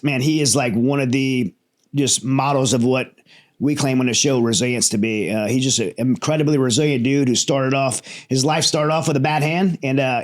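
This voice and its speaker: A man hosting an interview show from his home studio, talking in an energetic, chatty way. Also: mid-range voice. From Louisiana. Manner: energetic, friendly, many fillers and restarts. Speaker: podcast host.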